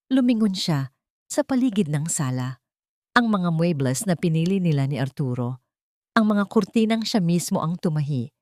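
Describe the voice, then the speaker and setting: Low-pitched Filipino woman narrating, dry recording. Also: mellow, dry recording. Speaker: storyteller, narrator. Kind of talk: scripted dramatic audio story narration.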